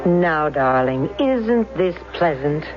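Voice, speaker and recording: An actress playing an insistent, solicitous wife who coaxes and presses with questions in a 1970s radio drama. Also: female voice, sits low, expressive, loud music behind the voice. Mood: probing. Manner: coaxing, deliberate, pressing with questions. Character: insistent, solicitous, probing wife managing her troubled husband. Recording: dull vintage radio recording.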